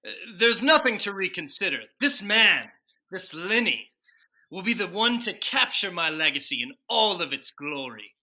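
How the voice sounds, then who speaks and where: Man playing a pompous, sharp-voiced, excitable president. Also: high-pitched, normal speed, exaggerated voice, rough recording. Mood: grandiose, excited. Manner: pompous, emphatic, theatrical. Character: vain, excitable male president George Washington. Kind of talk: old-time radio sketch comedy parody.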